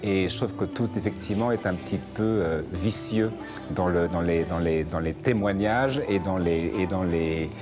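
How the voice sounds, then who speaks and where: A man from France, a musician guest in a television interview, talking fast and restarting phrases with repeated words. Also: sits low, music in the background. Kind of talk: talk show interview.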